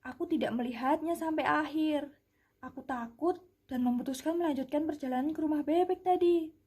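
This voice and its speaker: A high-pitched, soft-toned rabbit acted by a young woman from a puppet fable. It is an indignant, doubting little creature that questions insistently and plaintively. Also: a little fast, lightly acted. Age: young adult. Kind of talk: puppet-show fable dialogue.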